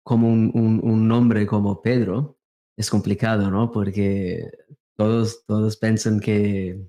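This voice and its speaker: A man in his thirties, a native Portuguese speaker with a non-native accent, chatting haltingly as an interview guest and searching for words. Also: lowish voice, flat delivery, dark tone. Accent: non-native, Portuguese-influenced Spanish. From Brazil, raised in England from thirteen. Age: 30s. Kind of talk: casual interview chat.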